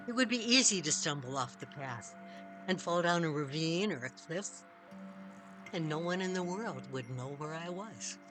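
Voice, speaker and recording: A woman reading a first-person story as scripted narration, measured, with dramatic pauses. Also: lowish voice. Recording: music behind the voice. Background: music.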